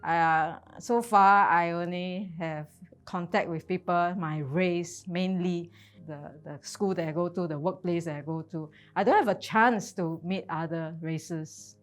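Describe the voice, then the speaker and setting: A woman's voice: fairly low pitch, a little slow. A middle-aged woman talking casually and candidly in an interview, repeating and rephrasing herself.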